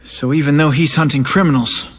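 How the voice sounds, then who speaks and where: A man plays a young superhero with a wry, easygoing way of talking. His voice sits slightly high for a man. Music runs under the game scene. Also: steady tone, a little fast, fairly bright tone, natural voice. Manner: wry, casual. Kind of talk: video game cutscene.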